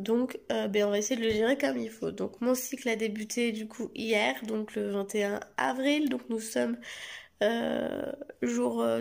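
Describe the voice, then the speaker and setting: A young woman vlogging casually to camera at home late at night, hoarse from a cold, rambling with lots of hesitations and restarts. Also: on the high side. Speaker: family vlogger. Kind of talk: casual direct-to-camera vlog. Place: home at night under artificial light.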